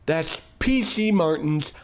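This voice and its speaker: A man doing a slick old-radio pitchman. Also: animated, slow pace. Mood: salesy. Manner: salesy, upbeat pitchman delivery.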